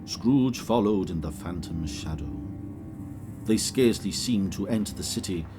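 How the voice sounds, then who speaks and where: Very deep-voiced male story narrator. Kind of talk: scripted narration of an audio drama.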